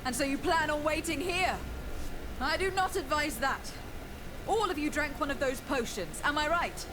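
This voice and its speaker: A woman's voice: high-pitched, normal speed, noisy background, lightly acted. A woman playing an assured, persuasive young noblewoman in a video game, with formal diction. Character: calm, persuasive young noblewoman addressing an angry mob.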